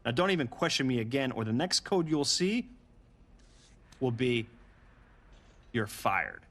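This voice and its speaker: A man's voice: medium pitch, lively intonation, measured pace, fairly bright tone. A man playing a brash, impatient wrestling boss in a video game, with an emphatic, condescending way of talking. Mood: stern, threatening. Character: brash, impatient male wrestling boss throwing his authority around. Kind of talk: video game story-mode voice acting.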